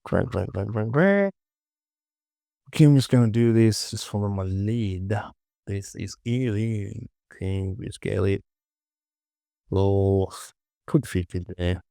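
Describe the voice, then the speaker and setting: Male tutorial instructor, low and unhurried, informal short phrases, close dry recording. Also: gravelly, warm tone, dry recording. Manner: informal, muttered short instructions to self and viewer. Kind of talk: casual screen-recorded software tutorial, talking while working.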